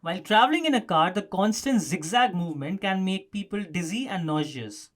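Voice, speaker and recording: A man's voice: sits high, expressive, a little fast, a bit bright. A man presenting a scripted explanatory news story as a narrator.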